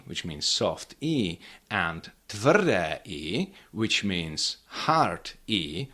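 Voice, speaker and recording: A man's voice: on the low side, a bit bright. A middle-aged man with a Czech accent teaching pronunciation, modelling each word twice for learners to repeat. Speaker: language teacher. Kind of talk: pronunciation lesson with repeat-after-me drills.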